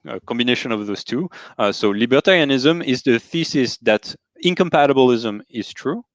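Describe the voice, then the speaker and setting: A man's voice: medium pitch, no room sound, muffled recording. French-accented man, precise and methodical. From France. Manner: precise, methodical, defines terms step by step. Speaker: guest philosopher. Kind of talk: careful explanatory interview talk.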